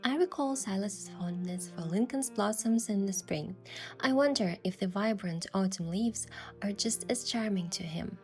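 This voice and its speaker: A woman playing an earnest, playfully cheeky young hunter heroine, mixing reflective first-person story narration with expressive video game dialogue. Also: medium pitch, moderate pace, faint music behind, close to an everyday voice. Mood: reflective, descriptive.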